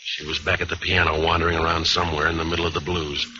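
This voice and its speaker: Very deep-voiced hard-boiled American detective. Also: male voice, little pitch movement, fast, pushed and bright. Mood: weary narration. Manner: laconic, dry, tough. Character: hard-boiled, world-weary male private eye. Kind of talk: first-person radio noir narration and acting. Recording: muffled, noisy old radio recording.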